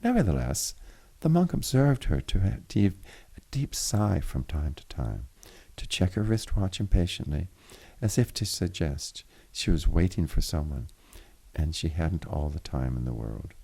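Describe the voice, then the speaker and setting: A man reading literary fiction aloud in a formal, deliberate way, with the occasional stumble. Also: deep voice, big pitch swings, vocal fry, warm tone, husky. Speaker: reader of a story chapter. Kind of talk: literary audiobook narration.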